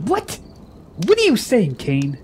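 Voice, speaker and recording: A haughty young warrior prince of a fantasy radio play, acted by a man. His voice is high for a male and swings widely in pitch, proud and scornful in every phrase. Faint noise sits behind him. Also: wildly swooping pitch, takes it slowly, exaggerated voice. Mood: urgent. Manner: haughty, dramatic. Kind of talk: radio drama acting.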